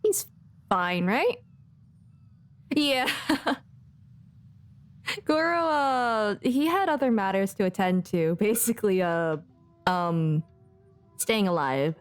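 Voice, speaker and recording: A woman's voice: medium pitch, animated, slow, pauses often, smooth, dry recording, soft background music. A woman giving casual, joking, laid-back commentary as she streams a game.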